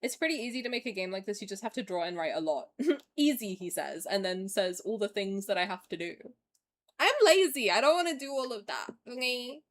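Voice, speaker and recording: A woman's voice: medium pitch, lively intonation, fairly quick. A woman in her twenties streaming a game, chatting casually and animatedly with viewers, sometimes swearing and reading game text aloud. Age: 20s. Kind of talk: casual live-stream gameplay chat.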